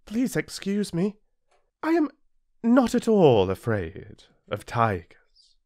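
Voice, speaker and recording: A man's voice: sits high, wildly swooping pitch, takes it slowly, a little mellow, no room sound, studio quality, pitched above the performer's own voice, full character voice. A British man voicing a vain, coy, delicate female flower in an affected, demanding manner.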